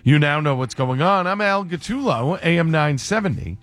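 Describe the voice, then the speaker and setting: A man anchoring a local radio newscast, reading scripted headlines in a brisk, even way. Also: on the high side, faint music behind. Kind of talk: radio news read.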